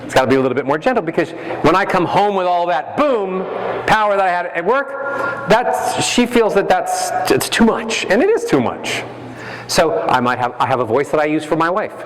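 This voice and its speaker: Lively man giving a keynote. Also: high voice, moderate pace, slight background noise. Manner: animated, playful, demonstrates with his voice. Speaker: keynote speaker, voice coach. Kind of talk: motivational keynote talk. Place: large hall. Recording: echoey hall, faint ambience.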